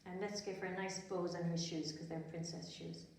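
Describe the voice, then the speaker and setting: A woman's voice: on the low side, fairly even intonation, normal speed, a little mellow, slight background noise. An Irish woman telling a story to small children in simple, repetitive phrases, chatting and asking them questions as she goes. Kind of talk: storytelling for small children, with asides while drawing. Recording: very echoey room, distant microphone.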